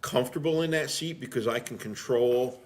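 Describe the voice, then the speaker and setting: A man's voice: mid-range voice, moderate pace. A man chatting casually as a firefighter guest, reflective and repeating phrases as he tells stories from the job. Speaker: firefighter and driver being interviewed. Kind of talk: casual storytelling.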